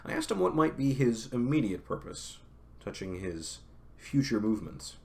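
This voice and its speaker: A man's voice: mid-range voice, sing-song, moderate pace, vocal fry. A young man reading a classic novel aloud on a stream, breaking off for chatty, self-correcting asides to his viewers.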